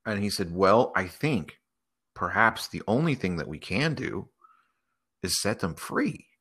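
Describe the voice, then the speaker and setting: A man improvising a story aloud in an animated way, acting out the dialogue as he goes. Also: lowish voice, a little slow, breathy edge. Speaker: host.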